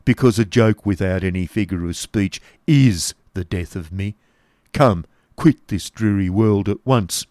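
A man voicing a gloomy, petulant apparition in a storybook reading, with a grim, drawn-out, snarling delivery. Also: lowish voice, a little mellow, no room sound, studio quality, natural voice. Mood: grim, impatient. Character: gloomy, petulant male apparition urging despair.

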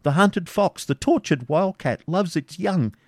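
Male reader as a frail old father, high strained voice, pleading and passionate, dry sound. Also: animated, unhurried, a little mellow, no room sound, heavily acted. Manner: impassioned, imploring.

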